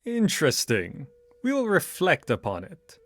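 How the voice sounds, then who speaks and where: A haughty, formal leader from a strategy game, voiced by a man. The voice is fairly high and swings widely in pitch, recorded very dry and close. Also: huge pitch swings, unhurried, a bit bright, no room sound, exaggerated voice. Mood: diplomatic. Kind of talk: scripted game dialogue.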